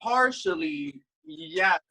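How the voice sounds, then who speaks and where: A man's voice: a little high, expressive, extremely slow, sharp tone, husky, low-quality recording. A man with a Puerto Rican accent, a drag performer talking in a sassy, campy way in a casual interview. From New Jersey.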